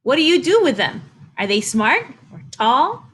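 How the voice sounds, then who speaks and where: A woman librarian reading a children's story aloud, expressively and deliberately, speaking directly to young listeners. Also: high-pitched, big pitch swings, fairly bright tone, a little hiss or hum.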